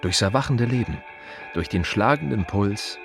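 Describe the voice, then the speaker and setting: An actor playing an aging, weary gravedigger who loves silence, musing in short, repeated fragments in a literary radio play monologue. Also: male voice, fairly low pitch, lively intonation, moderate pace, creaky, music in the background. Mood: reflective.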